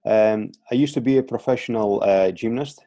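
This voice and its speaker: Greek man with a low warm voice. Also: moderate pace, no echo, studio quality. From Greece (Crete). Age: 30s. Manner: hesitant, repeats words, many fillers. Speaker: strength and conditioning coach, guest. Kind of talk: casual podcast interview.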